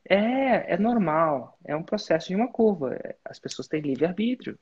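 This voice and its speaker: A man's voice: sits high, sing-song, normal speed, dull audio, a crowd in the background. A man hosting a live coaching session, giving direct, instructive advice to students. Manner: direct, instructive, uses sports-belt metaphors. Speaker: business mentor and host.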